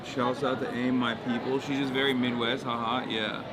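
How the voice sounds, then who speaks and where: A man's voice: medium pitch, little pitch movement, moderate pace. A male streamer host chatting casually and jokingly at an outdoor protest, with loose slang. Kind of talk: casual street chat. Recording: loud crowd noise behind. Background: loud crowd.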